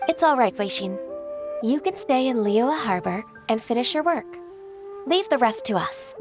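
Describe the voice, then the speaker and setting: Woman as young official, game character, composed, courteous, formal, measured, slightly high voice. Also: lively intonation, over music, lightly acted. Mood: polite, kind. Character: polite, composed, diligent young female official. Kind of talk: video game voice acting.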